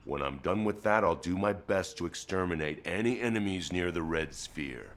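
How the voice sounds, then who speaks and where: Very deep, gruff veteran soldier. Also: male voice, a bit bright. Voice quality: deep, weighty. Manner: stern, blunt. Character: gruff battle-worn male soldier, vengeful, proud, loyal. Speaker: actor voicing a game character. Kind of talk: scripted game dialogue.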